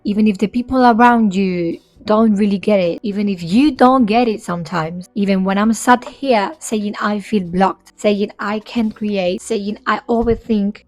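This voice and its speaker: A young woman from Spain, whose first language is Spanish, talking in English in a soft-spoken, candid and reflective way to her camera. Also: medium pitch, no room sound. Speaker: vlogger and independent singer. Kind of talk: improvised personal talk to camera.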